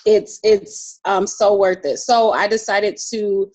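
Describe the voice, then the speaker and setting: A woman's voice: mid-range voice, steady tone, slow, a little mellow, no room sound. A woman team member chatting casually on a video call. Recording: dry, phone-like call audio.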